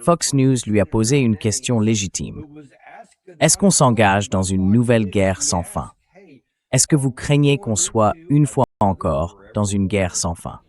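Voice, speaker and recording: A man reading a translated voice-over as the host of an interview show, in a measured, scripted-sounding way. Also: lowish voice, lively intonation, a little mellow.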